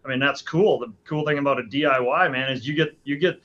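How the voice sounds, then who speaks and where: A man's voice: mid-range voice, brisk, a bit bright, a little hiss or hum. A man in his forties, a hunting guide, answering interview questions casually with frequent restarts and fillers. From Alaska. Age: 40s. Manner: hesitant, many restarts and fillers. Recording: dull lo-fi sound.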